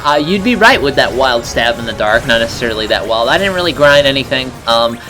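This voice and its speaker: A man's voice: mid-range voice, lively intonation, fairly quick, poor audio. A man chatting casually as co-commentator on a video game playthrough. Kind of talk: casual chat. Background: game music.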